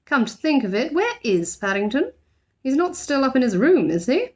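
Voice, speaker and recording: A cautious middle-aged family man from a storybook, voiced by a woman reading. He gives in easily and talks in a hesitant, measured way, with lively rises and falls. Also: sits high, voice pushed up. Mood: casual, puzzled.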